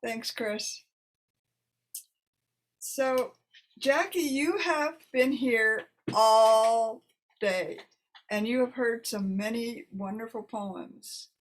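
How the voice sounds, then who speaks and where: A woman informally hosting a poetry reading and introducing poets in a halting manner. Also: fairly high pitch, slight background noise, poor audio. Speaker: host of a poetry reading event.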